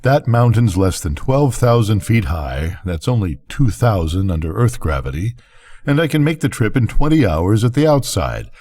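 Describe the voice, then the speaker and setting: An older man reading a bedtime story aloud as a measured first-person narrator. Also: on the low side, very animated, mellow. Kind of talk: bedtime story narration of classic science fiction.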